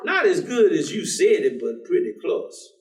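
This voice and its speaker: Echoey, high-pitched, animated folksy man played by an actor. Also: normal speed. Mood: thoughtful. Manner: folksy, teasing, chuckling, probing with questions. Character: folksy, devout, good-humored man gently challenging a gloomy professor. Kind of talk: staged play reading. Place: indoor theater stage. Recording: echoey theater, distant microphone.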